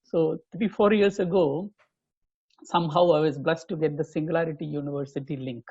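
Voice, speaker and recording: Indian guy in his 50s on a video call, gravelly and husky, dull dry sound. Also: fairly high pitch, steady tone, a little fast, mellow, dry recording. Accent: Indian English. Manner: earnest, many "uh" fillers. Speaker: guest, chief information officer. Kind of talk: casual video call chat.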